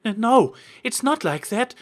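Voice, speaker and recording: Man as a high-pitched, panicky pleading female unicorn. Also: expressive, slow pace, full character voice. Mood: panicked. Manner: stammering, pleading, high-strung. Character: anxious young female unicorn, guilty and pleading.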